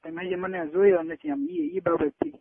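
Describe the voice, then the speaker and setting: A man's voice: extremely high pitch, sing-song, moderate pace, vocal fry, no echo. A man, chatting casually on the phone in an insistent, coaxing way. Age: early 20s. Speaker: caller. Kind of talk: casual private phone chat. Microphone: telephone. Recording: tinny phone call recording.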